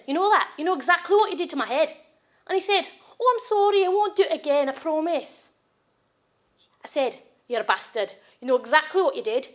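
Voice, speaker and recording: A woman's voice: sits high, fairly bright tone. A woman acting a dramatic monologue as a wounded, angry woman raging at an abusive partner. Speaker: actress performing monologues. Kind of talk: acted audition monologue.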